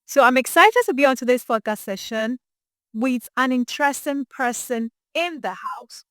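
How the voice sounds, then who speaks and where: A Nigerian woman presenting as a podcast host, introducing an interview in an expressive, emphatic way. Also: high voice, normal speed, no echo, clean studio sound. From Imo State, Nigeria.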